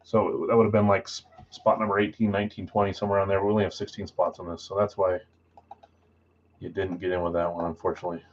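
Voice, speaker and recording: A man's voice: flat delivery, quick pace, lo-fi sound, slight background noise. A man hosting a live trading card break stream, casually reading out buyers and spots in a matter-of-fact voice he calls boring. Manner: self-described boring voice, low-key and matter-of-fact.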